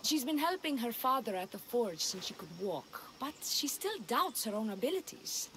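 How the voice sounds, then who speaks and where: A woman's voice: fairly high pitch, very animated, moderate pace, pushed and bright, slight background noise. An actress playing a weary, practical village woman who leads, earnest and guarded, in a video game. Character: weary, pragmatic unwed village woman leader. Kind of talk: video game cutscene acting.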